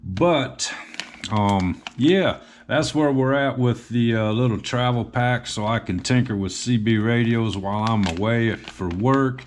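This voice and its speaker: A man's voice: medium pitch, a little slow, slight background noise. A man giving a casual, unscripted show-and-tell as a hobbyist host, speaking in a plain, hesitant way.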